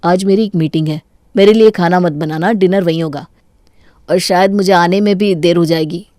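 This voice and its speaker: A woman plays a young husband in a cartoon tale. The voice is mellow and dark, calm and reasoning, recorded very dry. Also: lowish voice, normal speed, dry recording, lightly acted. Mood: matter-of-fact. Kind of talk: Hindi cartoon story voice acting.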